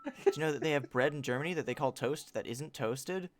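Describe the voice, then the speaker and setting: A man's voice: medium pitch, fairly quick. A man streaming a game with casual chat, reading on-screen text aloud and riffing jokingly on it. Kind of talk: casual livestream chat while playing a game.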